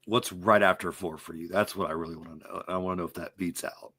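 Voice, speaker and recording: A man guesting on a casual live stream, excitable and incredulous, his voice slightly worn from talking all day. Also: sits low, quick pace, slightly rough, no room sound, clean studio sound. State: losing his voice.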